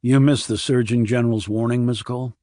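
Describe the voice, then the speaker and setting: A man's voice: medium pitch, steady tone, brisk, dark tone, dry recording, studio quality, lightly acted. A man playing a terse, wry, formal Army investigator with clipped military delivery in an audiobook. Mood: dry, firm. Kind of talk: audiobook character acting.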